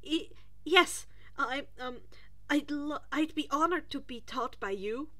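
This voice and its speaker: A woman voicing a nervous, self-doubting teenage boy in an audiobook, stuttering in a small, high voice. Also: slow, pushed and bright, full character voice. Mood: nervous, honored. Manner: stuttering, breathless hesitations.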